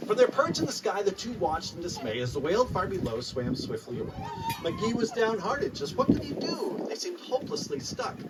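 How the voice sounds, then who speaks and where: A man reading a rhyming picture book aloud to young listeners in an expressive, animated storytelling style. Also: high-pitched. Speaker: children's author reading aloud. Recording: noisy, distant mic, live room ambience.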